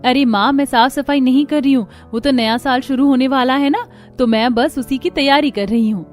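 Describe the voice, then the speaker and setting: A woman's voice: high-pitched, moderate pace, lightly acted. A woman voicing a caring young housewife daughter-in-law in a cartoon story, speaking politely and earnestly, eager to explain herself. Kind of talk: Hindi cartoon story dialogue. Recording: music behind the voice.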